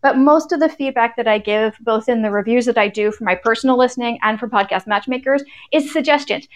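A woman's voice: on the high side, brisk. A woman speaking as a podcast reviewer and interview guest, chatting informally and telling stories with quoted asides. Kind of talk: casual conversational interview answers.

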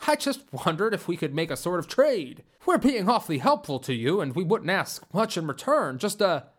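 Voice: mid-range voice, big pitch swings, moderate pace. A narrator voicing a nervous, ingratiating male official in an audiobook. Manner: ingratiating, chatty. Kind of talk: audiobook character voice.

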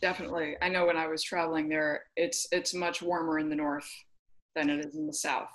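A woman's voice: low voice, level tone, brisk, fairly bright tone. A woman hosting a podcast, chatting casually with a guest and laughing often. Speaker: podcast host. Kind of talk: casual interview chat.

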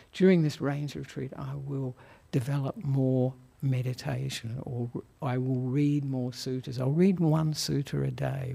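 Soft gravelly australian guy, monk giving a dharma talk, kinda husky, mellow tone. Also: mid-range voice. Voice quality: soft-spoken. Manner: gentle, chuckles often, hesitant with many fillers. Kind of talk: relaxed Dhamma talk to a lay audience. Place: meditation hall of a Buddhist society.

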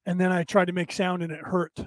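Raspy, hoarse male host with a high voice, rambling, emphatic, talking straight to his audience. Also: completely flat delivery, brisk, no echo. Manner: rambling, emphatic, addresses listeners directly. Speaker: show host. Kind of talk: late-night spiritual talk show monologue.

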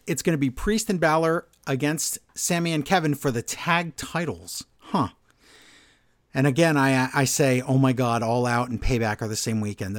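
A man co-hosting a wrestling podcast, recapping the week's shows as a lively story. He slips into little voices to act out what the wrestlers said. His voice sits at a middle pitch and sounds dry and close to the mic. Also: no room sound. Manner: animated storytelling recap, acting out quotes. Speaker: podcast co-host. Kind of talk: casual wrestling recap chat.

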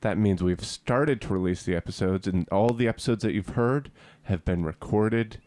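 A man's voice: lowish voice, moderate pace, a little mellow, no room sound. A young man from Cape Cod co-hosting a podcast in casual chat, rambling with many fillers and restarts. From Cape Cod, Massachusetts. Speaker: podcast co-host.

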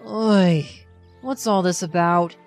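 A woman's voice: fairly low pitch, takes it slowly, over loud music. Woman playing a sarcastic boy sword master. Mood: sardonic. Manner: dry, sarcastic and curt. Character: young arrogant male sword master, sardonic.

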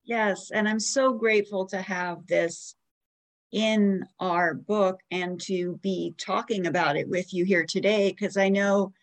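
A woman's voice: mid-range voice, steady tone, measured pace. An American woman in her forties hosting a podcast, interviewing in a chatty, affirming, conversational way. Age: 40s. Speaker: podcast host.

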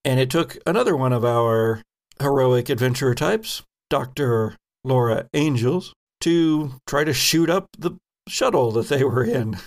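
A man with a creaky, gravel-edged voice runs a horror tabletop game. He takes his time, telling the story dramatically and pausing deliberately as game master. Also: medium pitch, animated. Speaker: game master, podcast host. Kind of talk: narrating a tabletop horror role-playing game.

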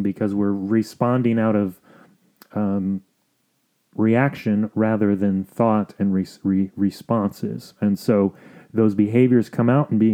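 A man hosting an advice podcast, explaining things in a measured, sometimes halting way. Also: fairly low pitch, warm tone, dull audio. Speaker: podcast host.

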